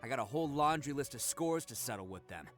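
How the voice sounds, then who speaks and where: A man's voice: mid-range voice, animated, fast talker, fairly bright tone, faint music behind, natural voice. A man playing a proud, hot-headed young oni gang boss in a video game, cocky and theatrical. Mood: scornful, confident.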